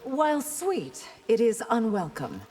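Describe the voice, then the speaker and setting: Regal warrior princess, echoing hall. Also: female voice, on the high side, big pitch swings, unhurried, darkish tone, husky, exaggerated voice. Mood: haughty. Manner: formal, composed, haughty. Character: regal, stern, long-lived warrior princess. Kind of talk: video game fight banter.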